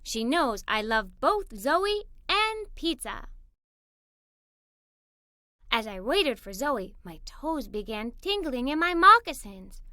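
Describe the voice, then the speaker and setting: A woman reading a scripted children's story aloud in an animated, expressive way. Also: high voice, slow pace, no echo, clean studio sound. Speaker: story narrator. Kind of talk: scripted children's story read-aloud, first person as a young girl.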